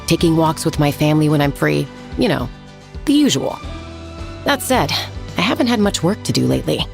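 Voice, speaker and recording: A laid-back young fighter in a video game. The voice sits low for a woman with plenty of gravelly fry, yet moves in lively swings, candid and easygoing. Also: normal speed. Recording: faint game music behind the voice.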